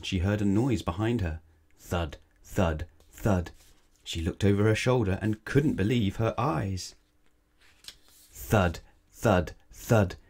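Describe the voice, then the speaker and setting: A man's voice: lowish voice, a little mellow, husky. A man reading a children's bedtime story aloud, dramatically, stretching and stressing words. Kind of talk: expressive read-aloud bedtime narration.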